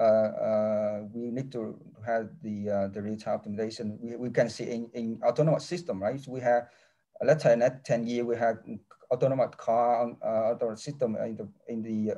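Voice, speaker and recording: Halting, mellow-voiced professor on a video call. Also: male voice, medium pitch. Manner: halting non-native English with many restarts. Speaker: engineering professor. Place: office with large window. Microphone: laptop webcam mic on a video call.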